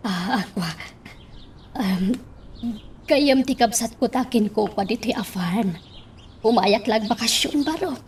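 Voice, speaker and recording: A woman playing a remorseful, consoling older motherly figure in an Ilocano radio drama, speaking gently and hesitantly. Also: a little high, lively intonation, slow, pauses often, far from the mic, a little hiss or hum. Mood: hesitant, reassuring.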